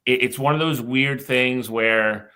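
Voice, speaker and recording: A man co-hosting a sports podcast, measured and hesitant, often restarting his sentences. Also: medium pitch, sharp tone, clear, resonant tone. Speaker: podcast co-host. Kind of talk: casual sports discussion.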